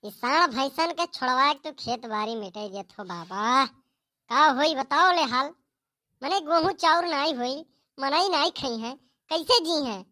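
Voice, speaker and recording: A woman's voice: high-pitched, expressive, measured pace, pushed and bright, full character voice. A woman voicing a hapless young farm son in a comic cartoon, whining and pleading repeatedly with an Awadhi accent. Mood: complaining. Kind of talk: amateur comic cartoon dialogue.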